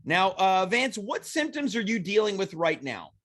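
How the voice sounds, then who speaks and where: A man's voice: high-pitched, sing-song, normal speed, fairly bright tone. A man hosting a casual comedy talk show, joking and rambling playfully while congested with a cold. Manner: playful, rambling, self-mocking jokes.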